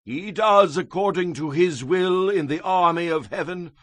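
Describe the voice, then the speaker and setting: A man's voice: high voice, measured pace, fairly bright tone, no room sound, pitched above the performer's own voice. A man playing a proud, devout ancient Babylonian king in a grand, declamatory dramatized scripture reading. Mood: exalted praise.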